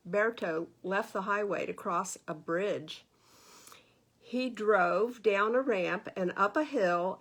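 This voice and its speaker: A woman reading a picture book aloud for children at storytime, expressively and with questions to her listeners. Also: mid-range voice. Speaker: librarian reading stories to children. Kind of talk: read-aloud children's storytime narration with asides.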